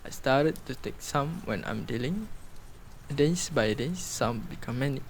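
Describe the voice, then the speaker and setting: Man acting a slow, creaky-voiced young drug addict. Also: mid-range voice, lively intonation, a little hiss or hum. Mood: confessional. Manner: rambling, repetitive, erratic. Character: manic twenty-year-old male drug addict turned dealer. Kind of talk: amateur radio drama acting.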